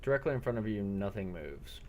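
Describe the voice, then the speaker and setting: A man narrating a role-play game as game master over online voice chat, halting and matter-of-fact. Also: fairly low pitch, expressive, a little hiss or hum.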